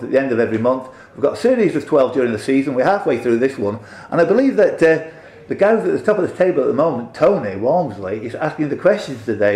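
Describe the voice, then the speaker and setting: A man's voice: medium pitch, a little mellow. Male quiz host, mature, off-the-cuff and jokey, rambling delivery, roomy small-venue sound. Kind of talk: casual unscripted introduction and player interviews. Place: quiet room in a pub, indoors. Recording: echoey small room.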